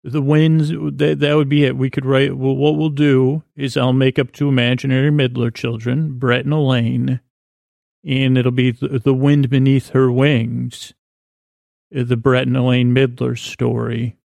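A man hosting a podcast with a halting, meandering bedtime monologue in a creaky voice, often trailing off mid-thought. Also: mid-range voice, level tone, darkish tone, dry recording. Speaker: podcast host. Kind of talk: rambling comedic bedtime monologue.

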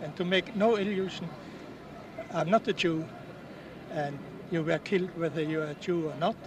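A man in his late seventies, born in Austria, speaking in an earnest, halting way with restarts, interviewed outdoors at a public ceremony. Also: on the high side, steady tone. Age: 70s. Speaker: war veteran interviewee. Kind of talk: outdoor interview, recounting memories. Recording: distant mic, noisy outdoors.

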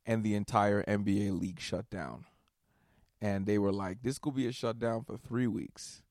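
A man's voice: lowish voice, moderate pace, no room sound, clean studio sound. A young man hosting a solo podcast, rambling casually and swearing, tired from staying up all night. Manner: rambling, profane, animated asides. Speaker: podcast host.

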